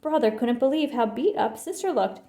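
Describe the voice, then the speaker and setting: A woman reading a children's story aloud in an expressive, theatrical way. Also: fairly high pitch, moderate pace. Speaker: storybook reader. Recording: roomy, echoing sound.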